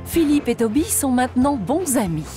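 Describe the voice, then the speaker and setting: A woman's voice: high voice, very animated, normal speed, over music. A woman giving scripted narration for a children's story.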